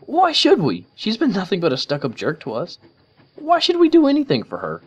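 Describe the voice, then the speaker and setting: Male reader doing a blunt, defiant tomboy pony mare, pitched well up from his own voice, loud and punchy; dull lo-fi audio. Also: very animated, normal speed. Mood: scornful. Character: brash tomboyish young female pegasus pony.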